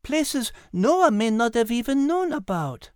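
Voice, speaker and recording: A woman's voice: on the high side, very animated, a little slow, darkish tone, smooth, no echo, clean studio sound. A woman voicing a prim, chatty female animal podcast host with a French accent, in scripted banter. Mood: bright, chatty.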